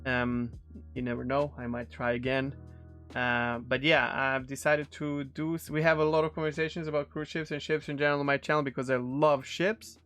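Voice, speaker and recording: A man's voice: medium pitch, level tone, normal speed, a bit bright, faint music behind. A man in his thirties with a Serbian accent, a non-native English speaker from Serbia, casually rambling with friendly ums and restarts. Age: 30s. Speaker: streamer reacting to a video. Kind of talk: casual reaction commentary.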